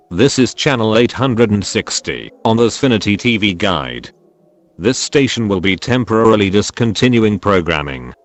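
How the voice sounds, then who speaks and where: A synthetic male voice delivers a formal emergency broadcast script. It sits fairly low and swings widely in pitch as it announces.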